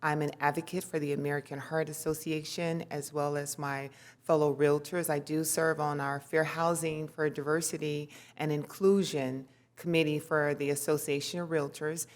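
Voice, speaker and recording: A woman with a very low voice introduces herself at a city council meeting. She speaks in a heartfelt way. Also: flat delivery, moderate pace. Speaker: city commission member. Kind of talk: public meeting remarks.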